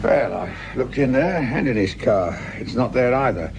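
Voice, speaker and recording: An actor playing a grumbling, sardonic, corner-cutting British police sergeant in a radio drama, full of world-weary asides. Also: male voice, medium pitch, expressive, normal speed, creaky, background noise.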